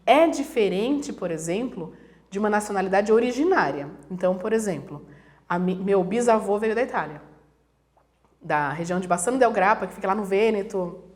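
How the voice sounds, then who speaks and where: A woman's voice: mid-range voice, lively intonation, moderate pace, a little mellow. A woman from Brazil, a guest professor, explaining things conversationally and acting out examples in quoted voices. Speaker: guest, international relations professor.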